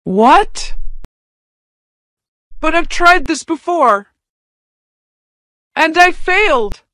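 A cautious cartoon schoolwoman, acted by a woman, speaking in a high voice. She is measured and very slow, with a doubtful manner. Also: expressive, bright, forceful tone, no room sound, heavily acted. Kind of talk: slow scripted cartoon dialogue.

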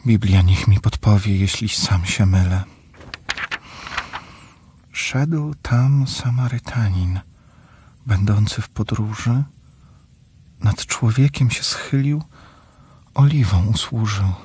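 An actor playing a calm, devout village father in a children's radio play, with a measured, verse-like delivery. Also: on the low side, slightly rough, full character voice.

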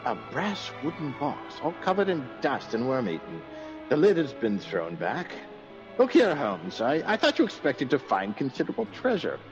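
An actor playing a puzzled, excitable country gentleman in a radio mystery, exclamatory and old-fashioned. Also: mid-range voice, normal speed, over music. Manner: exclamatory, bewildered, old-fashioned gentry speech. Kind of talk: radio mystery drama acting. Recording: dull, lo-fi sound.

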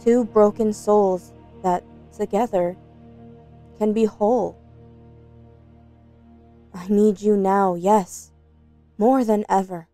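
A woman's voice: mid-range voice, fairly even intonation, takes it slowly, pauses often, dark tone, faint music behind, lightly acted. A woman playing an earnest, wounded woman reading her heartfelt letter in a comic book dub, sincere and pleading. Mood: earnest, tender.

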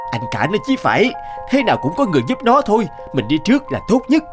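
An actor playing a brash, selfish young elder brother in a cartoon fairy tale. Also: male voice, high-pitched, wildly swooping pitch, fast, music in the background. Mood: irritated, scheming.

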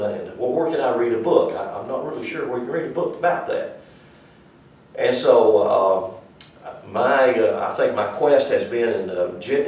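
A man's voice: on the low side, darkish tone. An older man with a Southern US accent, teaching a church class in a rambling, folksy, conversational way. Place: small church classroom, indoors. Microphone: far camera. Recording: echoey room from far off.

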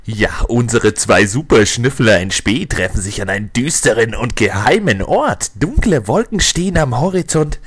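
A man's voice: mid-range voice, big pitch swings, moderate pace, hardly any pauses, breathy edge. A man narrating a scripted audio drama in a mock-dramatic storytelling style.

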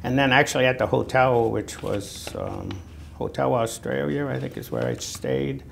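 A man in his sixties with a Boston accent, recalling his army service in a halting, conversational oral history interview. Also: medium pitch, animated, vocal fry. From Natick, Massachusetts, United States. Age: 60s. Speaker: Vietnam War veteran being interviewed. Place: indoor room, seated on camera. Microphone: lapel. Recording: noticeable background noise.

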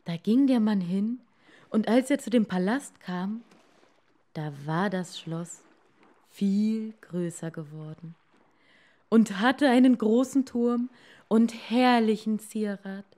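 A woman narrating a fairy tale for children in a measured way, with dramatic pauses. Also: mid-range voice, mellow. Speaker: storyteller.

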